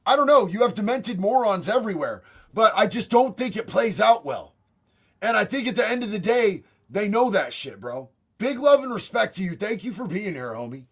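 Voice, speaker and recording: A raspy young man talks off the cuff on his livestream, blunt and profane, tossing out bro and homie. His voice sits high for a man. He answers chat messages one after another. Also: big pitch swings, moderate pace. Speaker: livestream host reading chat.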